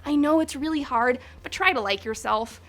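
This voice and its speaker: A woman's voice: high-pitched, normal speed, fairly bright tone, soft background music. A young woman hosting a countdown video, talking in a sarcastic, profane, chatty way. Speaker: host. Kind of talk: snarky countdown commentary.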